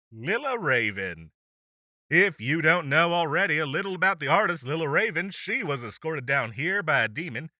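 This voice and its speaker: A man's voice: a little high, sharp tone, no room sound, clean studio sound, full character voice. A man playing an upbeat demon radio host, chatting through a chart countdown in showy, teasing announcer patter for an audio roleplay. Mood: chatty, showy.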